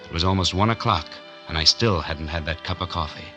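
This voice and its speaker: Male actor as down-and-out drifter, low pitch, wry, laconic, hard-boiled radio drama, prominent music, vintage recording. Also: a little fast, muffled recording, lightly acted. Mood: brooding narration. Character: broke, wry drifter narrating his hard-boiled tale. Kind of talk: 1940s radio noir acting and first-person narration.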